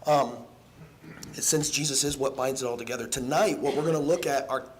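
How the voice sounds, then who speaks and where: A hoarse young man giving an evening sermon in a church, earnest and halting, with many ums and restarts. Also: mid-range voice, steady tone, a little hiss or hum. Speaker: preacher. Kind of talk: evening sermon with casual asides. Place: church sanctuary.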